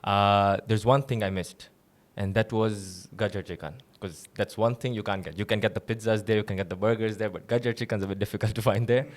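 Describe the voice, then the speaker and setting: Young male guest from Tanzania, low-pitched and easygoing, recounting his path with a smile. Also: brisk, hardly any pauses. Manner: relaxed storytelling with light laughs. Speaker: guest, seminary student. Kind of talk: casual interview.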